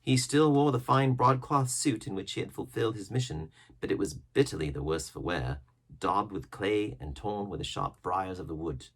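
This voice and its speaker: Animated, husky male storybook narrator. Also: lowish voice, normal speed. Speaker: audiobook narrator. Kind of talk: dramatic scripted storybook narration.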